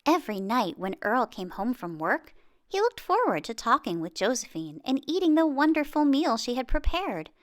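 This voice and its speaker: A woman reading a children's picture book aloud in an expressive way, with dramatic pauses and exclamations. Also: high voice. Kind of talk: children's storybook narration.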